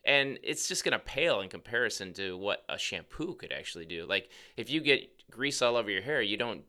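A man co-hosting a podcast as a cosmetic scientist, with a gravelly voice, explaining things in a relaxed, chatty way. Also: on the low side, fairly quick. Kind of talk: casual chat.

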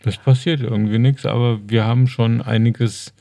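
Laid-back man, dark mellow voice. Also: medium pitch, fairly even intonation, normal speed, vocal fry, dry recording, studio quality. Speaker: podcast co-host. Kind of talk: casual chat.

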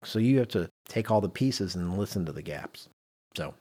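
A man's voice: low voice, expressive, moderate pace, mellow, husky. A man co-hosting a podcast, talking conversationally and explaining with emphasis and rhetorical repetition. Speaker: podcast co-host. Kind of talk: conversational podcast discussion on leadership.

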